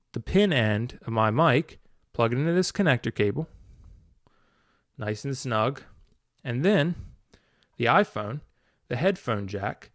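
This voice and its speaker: A man's voice: mid-range voice, no echo, studio quality. A man hosting a casual, unscripted tutorial, talking informally and a bit ramblingly as he demonstrates. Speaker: video tutorial host, hobbyist. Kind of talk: casual unscripted product walkthrough.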